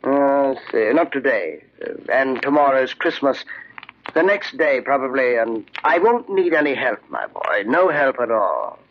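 An actor playing a suspicious older uncle in a 1940s style radio drama, halting and musing with feigned casualness. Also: male voice, mid-range voice, sing-song. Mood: coy, casual. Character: suspicious older uncle, coyly genial, hinting. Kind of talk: 1940s radio horror acting. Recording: dull, lo-fi old radio recording.

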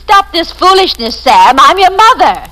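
A woman's voice: very high-pitched, big pitch swings, normal speed. An actress playing an exasperated mother in a 1940s radio comedy sketch. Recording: noisy old radio recording, loud audience.

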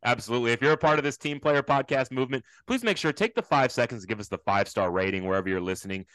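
Male podcast host, quick and chatty, dry close sound. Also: mid-range voice, expressive, a bit bright, dry recording. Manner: upbeat and chatty. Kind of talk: casual podcast interview.

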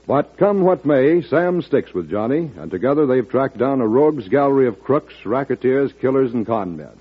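Husky American radio announcer, tinny vintage broadcast. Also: male voice, medium pitch, lively intonation, normal speed. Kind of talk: 1940s radio promo announcing.

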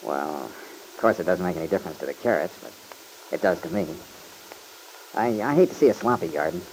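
Easygoing young American country fellow, fairly deep voice, folksy, bashful, old radio drama, faint recording noise. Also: male voice, lively intonation, a little fast, gravelly. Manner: folksy, slightly bashful, hesitant. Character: easygoing, modest young country man, war veteran who gardens. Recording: noisy vintage radio recording.

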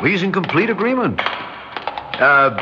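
An actor playing a polite, hesitant, quietly probing doctor in an old radio drama, recorded with audible noise. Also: male voice, high voice, very animated, a little slow, music in the background. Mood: humoring. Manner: hesitant, courteous. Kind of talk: 1960s radio drama acting. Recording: dull, noisy vintage radio recording.

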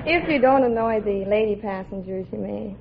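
A woman's voice: medium pitch, normal speed, vocal fry, some chatter behind. A young woman answering politely as a contestant on a classic radio quiz show. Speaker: quiz contestant, airline hostess. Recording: dull, noisy vintage radio recording. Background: studio audience.

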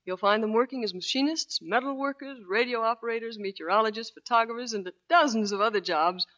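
A woman's voice: a little high, fairly quick, a bit bright. A woman Navy officer speaking as a guest in a scripted 1940s radio interview. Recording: dull lo-fi 1940s radio recording.

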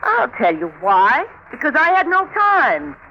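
Long-suffering scolding wife, sharply varied pitch. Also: female voice, huge pitch swings, measured pace, exaggerated voice. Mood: bitter, exasperated. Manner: curt, exasperated. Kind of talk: comic radio drama acting. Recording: dull, faintly noisy old radio recording.

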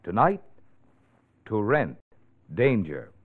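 A man's voice: lowish voice, big pitch swings, takes it slowly, noisy background. A man announcing a sponsor in emphatic, declamatory 1940s radio style. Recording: tinny vintage radio recording.

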